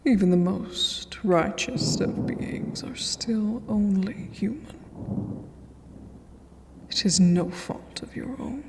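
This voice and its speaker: Whispering, very slow vampire queen. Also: medium pitch. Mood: cool, condescending. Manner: formal, measured, imperious, breathy half-whisper. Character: regal, haughty, ageless vampire queen, cool and condescending. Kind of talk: fantasy ASMR roleplay. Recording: long cavernous reverb.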